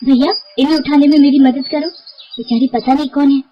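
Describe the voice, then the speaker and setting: A woman voicing a kind, high-pitched young village woman. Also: steady tone, fairly quick, muffled recording, a little hiss or hum, lightly acted. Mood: concerned.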